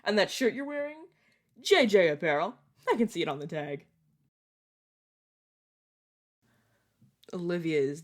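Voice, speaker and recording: A woman playing a chatty, playful young male vampire mentor in an audio roleplay, talking casually to the listener. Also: low voice. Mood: chatty, teasing. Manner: teasing, casual, dramatic about food. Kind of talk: audio roleplay acting, addressing the listener directly.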